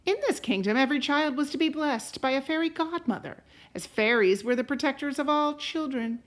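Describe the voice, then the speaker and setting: A woman reading a storybook aloud to a class as a teacher, in a deliberate, expressive way. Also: high-pitched. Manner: deliberate and expressive, pausing to question listeners.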